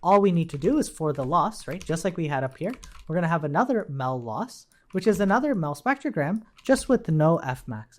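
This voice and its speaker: A man working as a coding instructor, casually explaining a tutorial and thinking aloud as he goes. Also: a little high.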